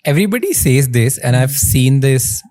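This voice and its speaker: A man's voice: mid-range voice, very animated, moderate pace, darkish tone. A man hosting a podcast, asking curious prompting questions. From India. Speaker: podcast host. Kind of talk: podcast interview.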